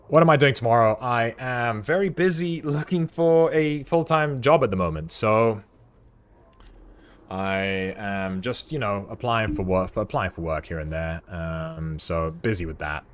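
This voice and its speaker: A man's voice: on the low side, measured pace, smooth. A British man streaming a space game, rambling chattily to his chat at a desk mic. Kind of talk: casual livestream chat while playing.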